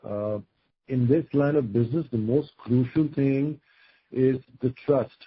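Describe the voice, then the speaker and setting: Indian guy exec on an earnings call, bit raspy, muffled audio, explains stuff in fits and starts. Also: mid-range voice, measured pace. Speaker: company executive on an earnings call. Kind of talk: spoken answers on a business conference call. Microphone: conference call line. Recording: dull, lo-fi conference call audio.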